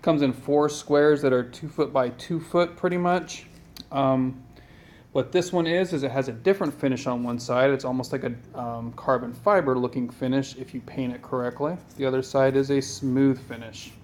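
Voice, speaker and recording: A man talks through a hobby how-to in a relaxed, unhurried way. His voice is plain and even, sitting at an ordinary middle pitch, and he wanders from point to point with frequent ums. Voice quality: flat, even voice. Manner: plain, low-key and meandering, with many ums. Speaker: hobby tutorial maker. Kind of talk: casual how-to tutorial.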